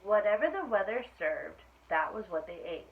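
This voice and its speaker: A woman reading a picture book aloud to young children like a teacher, with expressive storytelling delivery. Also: medium pitch. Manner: expressive storytelling, pausing to ask children questions. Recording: dull lo-fi sound with clear background noise.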